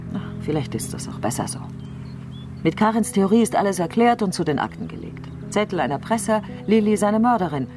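An actress playing a troubled, brooding politician in a crime radio play, speaking reflectively and intensely, sometimes halting. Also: female voice, on the low side. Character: troubled, brooding female politician doubting the official truth. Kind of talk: dramatic radio play acting.

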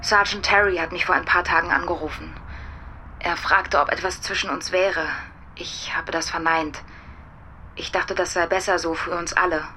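Guarded young woman, bright, lowish. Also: fairly even intonation, moderate pace, slight background noise. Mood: careful. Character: guarded young woman, reproachful with an insistent admirer. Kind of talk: German radio drama acting.

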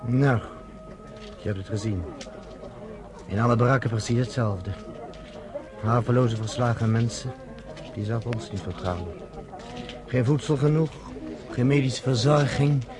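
A man's voice: medium pitch, unhurried, long pauses, music in the background, natural voice. A man playing David, a fervent, stubborn underground fighter guiding a visitor through a camp, in a radio play. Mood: somber. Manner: fervent, stubborn about his people's survival. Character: earnest male underground fighter David, middle-aged. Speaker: resistance leader guiding a visitor. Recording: noisy radio play recording.

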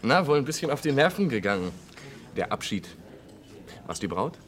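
An actor playing a nonchalant, slightly shifty bar patron in a vintage German radio crime play, talking casually. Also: male voice, medium pitch, very animated, moderate pace, a little hiss or hum, natural voice. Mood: casual, musing.

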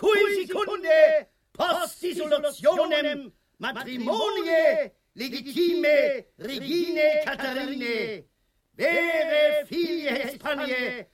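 A man's voice: extremely high pitch, takes it slowly, pauses often. An actor in a radio play, a man playing a stern, solemn Spanish bishop giving a ceremonial oration. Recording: echoing space with crowd behind. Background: crowd.

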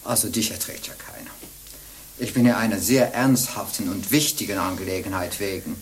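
An older actress playing a sly, jovial old bawd in a radio play, teasing and wheedling in a theatrical way. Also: female voice, a bass voice, fairly quick. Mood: mock offended. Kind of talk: German radio play acting.

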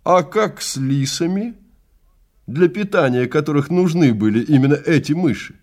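A man's voice: a little high, animated, moderate pace, darkish tone, pitched above the performer's own voice, lightly acted. A man playing a stern, contemptuous male time-travel guide in an audio drama, lecturing and commanding with great emphasis. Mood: heated lecturing. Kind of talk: Russian audio drama acting.

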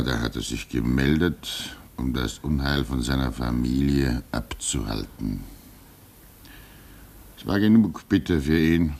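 An actor playing a calm, fatherly old radio operator in a German radio drama, soothing and patient in a deliberate mid-century style. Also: rumbling low, full character voice. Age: older.

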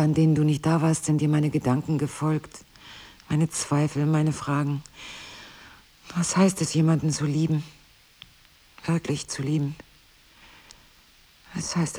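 An actress playing a devoted, determined wife in an intimate drama, earnest and insistent, often dropping toward a whisper. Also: female voice, deep voice, warm tone, husky. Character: devoted, determined wife pleading with her husband to stay. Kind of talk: intimate dialogue-driven audio drama.

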